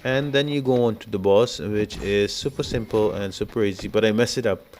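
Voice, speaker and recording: A man's voice: fairly low pitch, fairly quick, darkish tone, a little hiss or hum. A man giving informal, explanatory walkthrough commentary as the host of a video game guide.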